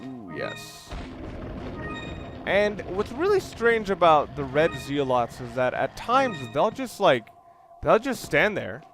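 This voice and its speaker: A man's voice: a little high, sing-song, slow pace. A man giving casual, wry and rambling commentary as he talks through a video game walkthrough. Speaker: let's play commentator. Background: game music and sound.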